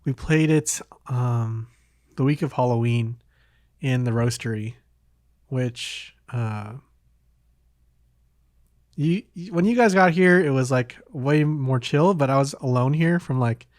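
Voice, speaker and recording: A man's voice: medium pitch, takes it slowly, long pauses, no room sound, studio quality. A man hosting a podcast in casual conversation, hesitant and meandering, often trailing off between thoughts. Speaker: podcast host. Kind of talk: casual chat.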